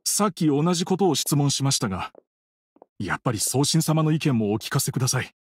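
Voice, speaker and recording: Troubled, polite grieving widower. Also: male voice, medium pitch, animated, a little fast, slightly rough. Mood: pleading. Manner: polite, earnest, hesitant. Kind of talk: Japanese anime game voice acting.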